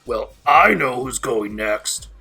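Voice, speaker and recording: A man playing an excitable, nosy and dramatic young friend with a gravelly rasp in an audio drama. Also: mid-range voice, slow pace, faint music behind, heavily acted. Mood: nosy, pushy. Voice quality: gravelly, raspy. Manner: incredulous, theatrical. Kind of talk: amateur audio drama acting.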